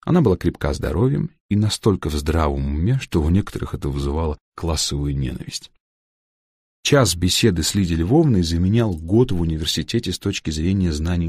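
A man reading a humorous literary story as an audiobook narrator, with a gravelly voice and wry, dry irony. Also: very deep voice, no room sound.

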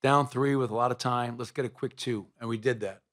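A middle-aged man, a basketball head coach, answering reporters' questions at a postgame press conference in a press room, speaking into a table microphone with measured answers and frequent fillers. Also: mid-range voice, fairly even intonation, a bit bright. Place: press room in front of a sponsor backdrop.

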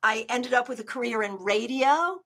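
An older woman with a loud, good speaking voice, chatting animatedly as a guest over a video call. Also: fairly high pitch, normal speed. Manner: animated, gestures a lot while talking. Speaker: tarot reader guest. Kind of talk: casual interview chat. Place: home room on a video call.

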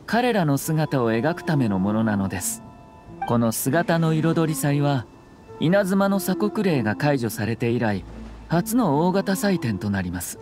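An actor playing a courteous, composed young nobleman in a Japanese anime game, speaking formally and with measured care. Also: on the high side, a little mellow.

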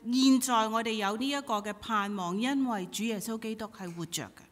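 A woman's voice: fairly high pitch, brisk. A middle-aged woman interpreting a sermon in emphatic, short phrases. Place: church hall pulpit. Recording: reverberant hall through PA.

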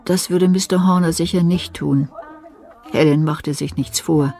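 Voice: medium pitch, fairly even intonation, measured pace, vocal fry, a little mellow, soft background music, some chatter behind. A narrator giving a dramatic scripted reading in a German radio thriller.

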